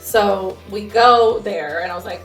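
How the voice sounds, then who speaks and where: A woman's voice: mid-range voice, unhurried. Young woman chatting in an echoey room. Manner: slangy, laughs easily, banters. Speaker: podcast co-host. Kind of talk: casual chat, animated storytelling. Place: small home garage studio. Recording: roomy echo, faint music.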